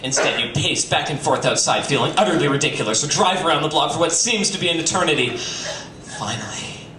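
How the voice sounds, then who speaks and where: A man's voice: a little high, a little fast. A man giving a theatrical live reading with joking asides and campy, playful emphasis, as a reader at a comedy night. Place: bistro venue in front of an audience. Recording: roomy, distant, noisy live stream capture.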